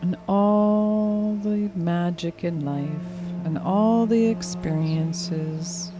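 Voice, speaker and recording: A woman leading a hypnotic guided meditation in a soothing, unhurried, gently instructing way. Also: fairly low pitch. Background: music clearly behind the voice.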